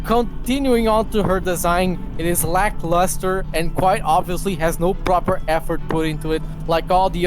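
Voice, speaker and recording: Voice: mid-range voice, poor audio. A person reading a mock-serious rant aloud to friends on a stream, exasperated and stumbling now and then. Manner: exasperated, swearing, breaking off into laughs and stumbles. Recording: loud music behind the voice. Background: music.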